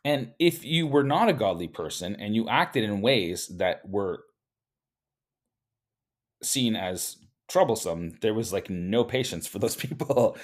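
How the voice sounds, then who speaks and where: A man reading a history book aloud, stumbling and repeating words now and then, and breaking off into casual spoken asides. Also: on the low side. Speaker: host reading from a book and commenting.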